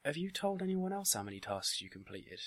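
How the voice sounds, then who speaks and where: A man playing a meek, bright-voiced male friend. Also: fairly high pitch, big pitch swings, fairly quick, voice pushed up. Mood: evasive, serious. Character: meek, evasive male friend, gently reassuring. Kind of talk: audiobook character dialogue.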